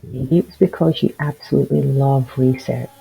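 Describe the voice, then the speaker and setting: A man leading a guided meditation in a soothing, gentle and encouraging manner. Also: mid-range voice, fairly even intonation, moderate pace, gravelly, breathy edge, muffled recording. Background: music behind the voice.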